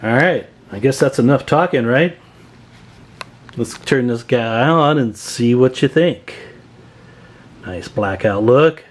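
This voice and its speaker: Casual man, clearly noisy room recording. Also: medium pitch, animated, takes it slowly, pauses often. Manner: informal, folksy, asks rhetorical questions. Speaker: hobbyist radio builder presenting own work. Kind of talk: casual product showcase to camera. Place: indoor room, behind a handheld camera.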